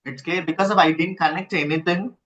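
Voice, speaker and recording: A man giving a live online lecture, halting and repeating himself as he checks in with listeners, using his computer's built-in mic. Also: high voice, steady tone, fairly bright tone. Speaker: instructor. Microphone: built-in computer mic, no headset. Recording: dull lo-fi computer audio.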